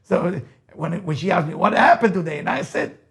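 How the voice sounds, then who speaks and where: A man's voice: high voice, expressive, moderate pace, vocal fry, fairly bright tone. A man with an Israeli accent, a native Hebrew speaker, giving an emphatic motivational lecture, repeating key phrases and asking rhetorical questions. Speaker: rabbi, motivational speaker and teacher.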